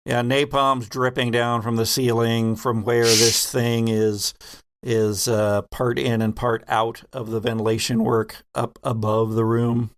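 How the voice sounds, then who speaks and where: Male game master for sci-fi horror roleplay: mid-pitched, slow and deliberate storytelling. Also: little pitch movement.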